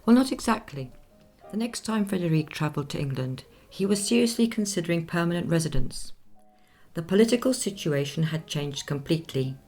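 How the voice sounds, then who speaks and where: An actress playing a poised woman recounting a life story in measured, formal radio play narration. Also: on the low side, animated, a little mellow, soft background music. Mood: composed, narrating.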